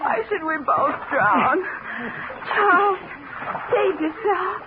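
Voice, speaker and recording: An actress playing an adoring, excitable wife in a 1940s radio drama, with an emotional, melodramatic delivery. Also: female voice, very high voice, slow, full character voice. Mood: tearful, despairing. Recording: scratchy, tinny 1940s radio recording, heavy noise.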